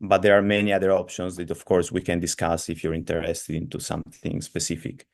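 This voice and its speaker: A man's voice: low voice, completely flat delivery, brisk, gravelly. A man hosting an online seminar over a video call, talking in an apologetic, hesitant way, with many filler sounds. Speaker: seminar host.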